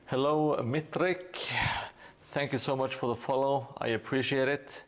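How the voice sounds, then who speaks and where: A man streaming live, chatting casually and thanking viewers while he paints, with a relaxed, hesitant delivery full of fillers. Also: mid-range voice, measured pace.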